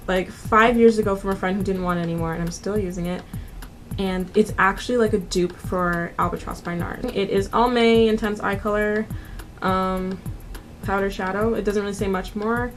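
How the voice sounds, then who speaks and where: A woman in her twenties narrating a makeup tutorial in a casual, informal, conversational way as a beauty vlogger. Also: mid-range voice. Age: 20s. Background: background music.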